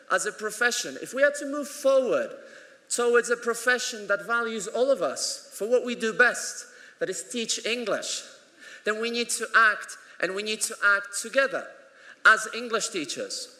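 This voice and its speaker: A man's voice: sits high, sharp tone. A man whose first language is Polish, giving a conference keynote in an earnest, emphatic way with dry humour. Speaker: conference plenary speaker. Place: conference hall. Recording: echoey hall.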